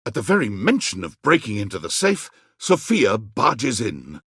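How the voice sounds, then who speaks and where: Husky, very animated game narrator. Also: male voice, fairly low pitch, normal speed, dry recording, clean studio sound, exaggerated voice. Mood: dramatic. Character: arch, dramatic male narrator. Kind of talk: scripted dramatic storybook-like narration.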